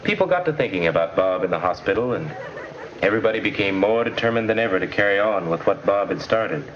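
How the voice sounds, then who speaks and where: An earnest, nostalgic student narrator in a 1950s school film. His voice sits fairly low and his telling is plain and folksy. The soundtrack is dull, with faint noise behind him. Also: lively intonation, fast talker, a bit bright. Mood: earnest, reflective.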